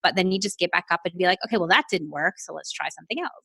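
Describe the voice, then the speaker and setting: A woman's voice: fairly low pitch, racing, gravelly, fairly bright tone, husky, dry recording. A woman with a North American accent, a business coach talking passionately about her work in a casual interview. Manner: passionate, explanatory, with many "you know" fillers.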